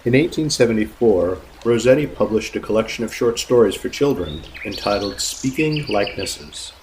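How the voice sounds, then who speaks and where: A man's voice: on the low side, animated, normal speed, darkish tone, slight background noise, low-quality recording. A man reading scripted literary introductions as a podcast narrator, formal and expository.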